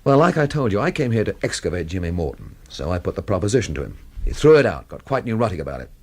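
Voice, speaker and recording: An actor playing a wry, cynical investigator from London in a radio drama, with a dry, sardonic manner. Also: male voice, sits low, sing-song, fast, full character voice. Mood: matter-of-fact. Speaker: lead actor and first-person narrator. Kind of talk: radio drama narration and dialogue.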